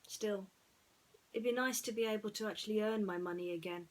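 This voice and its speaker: Female bedtime storyteller, middle register, reflective and unhurried delivery with pauses. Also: mid-range voice, low-quality recording. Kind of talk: personal chat and bedtime story reading.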